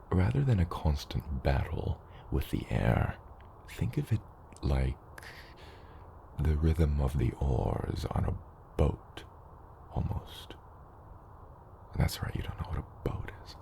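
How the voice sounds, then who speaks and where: An extremely low, gravelly dragon father, acted by a man, mentors a hatchling in an ASMR roleplay. He takes his time, patient and gently teasing, with some whispery edges. Clear ambient noise sits behind the voice. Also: exaggerated voice. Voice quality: very deep, gravelly, partly whispery. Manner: slow, measured mentoring with hesitant asides.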